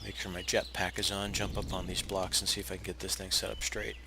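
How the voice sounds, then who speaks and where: A hoarse, raspy man who is feeling unwell, casually talking viewers through a game build step by step with plenty of pauses and restarts. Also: sits low, background noise. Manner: relaxed, explanatory, with frequent uh fillers and restarts. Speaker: game tutorial narrator. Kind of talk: casual step-by-step gameplay tutorial.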